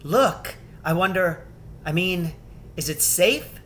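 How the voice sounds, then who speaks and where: A man's voice: high voice, expressive, slow, a little hiss or hum, voice pushed up, heavily acted. A man voicing a cautious, anxious young girl from a storybook, speaking hesitantly. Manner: hesitant, hushed.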